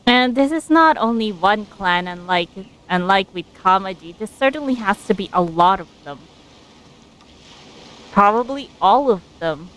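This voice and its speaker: Clear-voiced woman streamer, thinking aloud. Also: mid-range voice, animated, a little slow, a bit bright, rough recording. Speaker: game streamer. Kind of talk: casual live commentary on game story.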